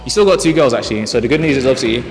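Fast-talking young man hosting a game show. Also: medium pitch, expressive. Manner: teasing, quick, repeats words for effect. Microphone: handheld mic. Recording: roomy studio echo with music.

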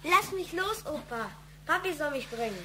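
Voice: sits high, expressive, moderate pace, pushed and bright, a little hiss or hum. A performer playing a whining, defiant young boy in a German radio play.

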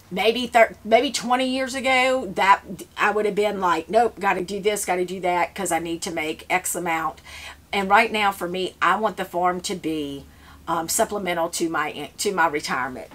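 A woman in her fifties with a drawl talks to the camera in an easygoing, rambling way, full of ums and restarts. Her voice sits at an ordinary middle pitch. She's recorded close up by a fixed camera in a small indoor seed-starting shed while her hands stay busy with trays. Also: a bit bright. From Louisiana, US. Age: 50s. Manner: chatty, rambling, with many fillers and restarts; works with seedling trays while talking. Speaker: flower farmer. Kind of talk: casual chat to camera.

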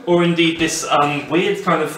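A man's voice: fairly high pitch, fairly even intonation, moderate pace, a bit bright. A young man co-hosting a house tour, trading dry absurd jokes with many ums. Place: small rented house, indoors. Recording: echoey rooms with faint noise.

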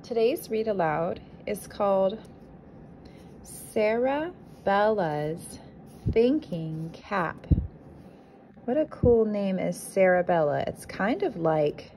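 A woman with a mid-pitched voice speaks as a kindergarten teacher presenting a picture book. She goes very unhurriedly, chatting to small children and explaining simply. There is faint noise in the recording. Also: animated, takes it slowly, pauses often, darkish tone, low-quality recording. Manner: chatty, asks rhetorical questions, explains simply. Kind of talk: read-aloud picture book introduction for young children.

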